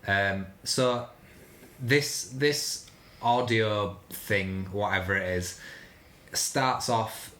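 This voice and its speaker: Man in an echoey room, lowish voice. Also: extremely slow, fairly bright tone. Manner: critical, incredulous. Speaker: podcast co-host. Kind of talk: casual panel discussion. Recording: roomy echo.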